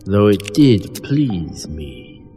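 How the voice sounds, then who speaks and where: A man's voice: sits low, very animated, drawn-out words, warm tone, heavily acted. A man playing a silky vampire lord. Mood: menacing. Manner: silky, mocking, formal. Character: sinister vampire-like male aristocrat, cruel, courtly. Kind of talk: old-time radio horror acting. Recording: long reverb, music underneath.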